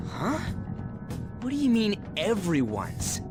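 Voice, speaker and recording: A man's voice: high-pitched, huge pitch swings, slow pace, over loud music, exaggerated voice. A man playing a hot-headed, slangy teenage boy in an English video game dub, blunt and grumbling. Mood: startled.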